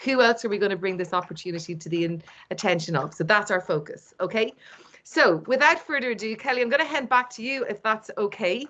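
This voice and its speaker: A woman from East Cork with an Irish accent, hosting an online launch event in a lively, playful, encouraging way. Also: medium pitch, fairly quick. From Ireland. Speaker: host. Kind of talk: upbeat webinar hosting. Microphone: video call.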